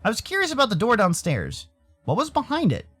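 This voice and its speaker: A man's voice: sits high, huge pitch swings, brisk, fairly bright tone, breathy edge, full character voice. An American man voicing a curious, skeptical young furry protagonist who narrates and talks in a visual novel, with a dry questioning manner. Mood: thoughtful.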